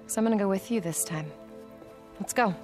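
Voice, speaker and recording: An actress playing a brisk, guarded, determined camp scientist in a video game, earnest in manner. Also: female voice, mid-range voice, over music, lightly acted. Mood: firm.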